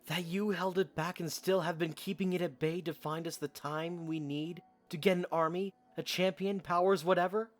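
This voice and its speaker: A man's voice: on the high side, close to an everyday voice. A man playing a loyal young soldier in an audio drama, blunt, protective and earnest. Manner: earnest, direct.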